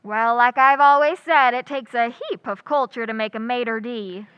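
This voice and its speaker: A woman acting a wry, quick-witted schoolteacher in old-time radio comedy, full of dry, sarcastic wisecracks. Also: a little high, smooth, no echo, dull audio, natural voice. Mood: wry, playful.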